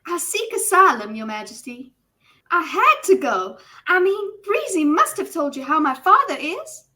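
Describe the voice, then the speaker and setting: A gushing, dramatic young noblewoman, as a woman puts her on, pours out her complaints in an exaggerated American Southern drawl. Her voice rides high and bright, sweet and breathless, as if every hardship is a scandal. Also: very animated, normal speed, heavily acted. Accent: hyped-up American Southern.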